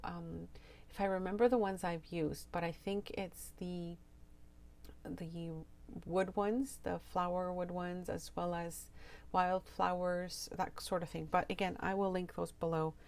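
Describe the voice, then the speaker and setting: A woman with a slightly hoarse voice, narrating a crafting tutorial voiceover, soft-spoken and explaining with frequent ums. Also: lowish voice. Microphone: home voiceover mic.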